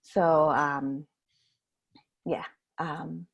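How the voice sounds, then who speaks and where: A woman from Guatemala speaks on a video call, her voice a little low for a woman. She talks in a halting way and fills her pauses with many ums and restarts. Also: flat delivery, vocal fry, husky, dull audio. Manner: hesitant, many fillers and restarts. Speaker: coffee importer guest. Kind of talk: webinar interview.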